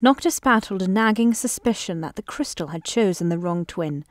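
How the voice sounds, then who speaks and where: A woman playing the narrator of a fantasy audio drama, reading scripted lines in a solemn, measured, formal way. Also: medium pitch, mellow, no room sound, studio quality, natural voice.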